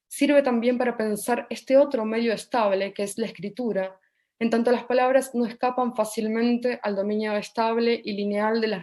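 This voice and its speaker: A woman reading a prepared academic presentation aloud in a measured, formal way. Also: on the high side, warm tone.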